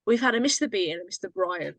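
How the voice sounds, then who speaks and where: A woman's voice: medium pitch, animated, fast talker, a bit bright, no room sound. A woman who produces and casts drama, bantering casually in a teasing, blunt way. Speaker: drama producer and casting director.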